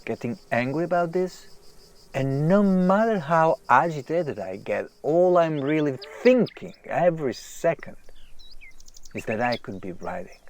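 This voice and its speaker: An actor playing a famous male writer, agitated, self-deprecating and obsessed with his work, halting and repeating himself in a naturalistic audio drama. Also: fairly high pitch.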